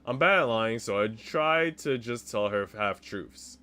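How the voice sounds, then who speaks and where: A man's voice: medium pitch, animated, a little slow, sharp tone. A man narrating a visual novel aloud as a streamer, reading out first-person story text. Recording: game music behind the voice. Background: visual novel music.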